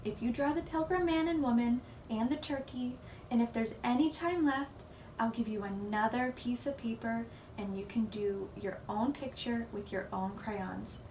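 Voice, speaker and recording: A woman voicing a reasonable, kindly female schoolteacher in a storybook, measured and coaxing. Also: a little high, darkish tone, echoey room, close to an everyday voice. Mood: patient, coaxing. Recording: distant mic, faint background noise.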